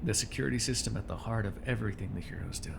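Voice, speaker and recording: A man's voice: lowish voice, racing, creaky, background noise. A man playing a smug, menacing male supervillain in an ASMR roleplay, taunting and commanding the listener with condescension. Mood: sly, menacing.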